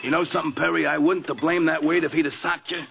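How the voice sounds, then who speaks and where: High, creaky, fretful sidekick. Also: male voice, fairly even intonation, very fast, soft background music. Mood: exasperated. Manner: complaining, agitated. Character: fretful, whiny comic sidekick, exasperated and hungry. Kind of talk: 1940s radio comedy acting. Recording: tinny old radio broadcast.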